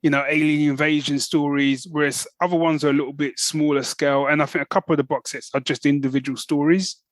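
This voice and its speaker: A man's voice: fairly high pitch, flat delivery, fast talker, dry recording. A man co-hosting a podcast, talking casually and enthusiastically as a fan, in a chatty, informal way that often checks in with the listener. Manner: chatty, informal, often checks in with "right?" and "you know what I mean". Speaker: podcast co-host.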